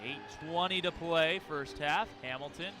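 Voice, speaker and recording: Male radio play-by-play caller, higher register, excitable, short descriptive bursts, noisy courtside sound. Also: normal speed. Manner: excitable, clipped descriptive phrases. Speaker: play-by-play announcer. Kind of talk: live basketball radio commentary. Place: courtside in an arena. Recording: noisy gym with bouncing balls. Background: basketball game arena noise.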